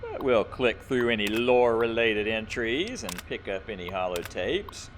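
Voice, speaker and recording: A man's voice: lowish voice, expressive, normal speed. A man hosting a let's play, casually commentating and explaining his tactics as he plays. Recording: clear game noise behind voice. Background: video game sound effects.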